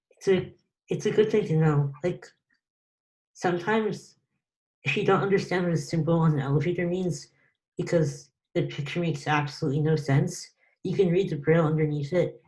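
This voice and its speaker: A young woman answering questions after her student presentation on a video call, relaxed and hesitant, with many pauses. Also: deep voice, rough recording. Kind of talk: casual online Q&A.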